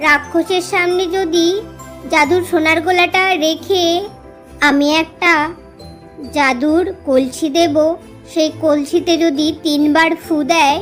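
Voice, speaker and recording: A woman as high-voiced, commanding cartoon fairy queen. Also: fairly even intonation, measured pace, pauses often, a bit bright, soft background music. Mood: thoughtful, resolute. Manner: authoritative, instructive. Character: powerful fairy queen, solemn, commanding, protective. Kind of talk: children's cartoon voice acting.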